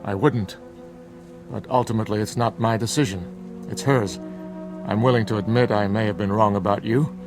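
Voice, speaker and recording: An actor playing a proud, reasonable middle-aged father, speaking in a measured, formal and civil way in a radio drama. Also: fairly low pitch, fairly even intonation, natural voice. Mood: grave, civil. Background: music.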